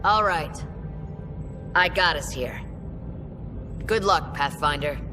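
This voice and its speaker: A woman playing a steady, brisk starship captain in a game, speaking with composed authority. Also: deep voice, big-room sound, music in the background. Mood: composed, resolute. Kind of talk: naturalistic video game acting.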